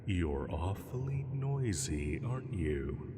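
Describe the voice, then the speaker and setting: Casting: male actor as ancient predatory dragon, low-voiced, sly and condescending, heard in long cavernous reverb. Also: very animated, mellow, full character voice. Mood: amused taunting. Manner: slow, condescending, theatrical, drawn-out taunting. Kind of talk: scripted roleplay audio drama monologue.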